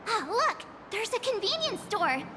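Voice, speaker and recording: Cheeky young adventurer girl, very high, lively delivery, game music under. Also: a little slow, a little hiss or hum, heavily acted. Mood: perky. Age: young adult. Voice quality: bright, bouncy, playful. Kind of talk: video game voice acting. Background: game soundtrack music.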